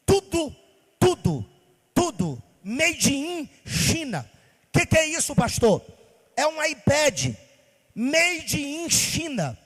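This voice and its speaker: A man's voice: very high-pitched, huge pitch swings, slow, a bit bright. A man from Bahia, Brazil, preaching a sermon in a sing-song cadence, firing rhetorical questions at the congregation. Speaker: pastor. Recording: long hall reverb.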